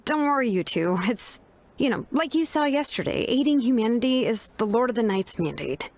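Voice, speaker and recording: A woman's voice: on the high side, expressive, normal speed, darkish tone, dry recording. A woman playing a kind, resolute young woman in a fantasy video game, speaking in a considerate, assured way. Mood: reassuring. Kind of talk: scripted video game voice acting. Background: background music.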